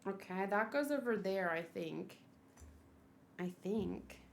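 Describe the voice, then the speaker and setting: A woman's voice: mid-range voice, expressive, slow, creaky, a little hiss or hum. A woman streamer chatting casually and giggling as she unboxes and assembles a figure, answering her chat.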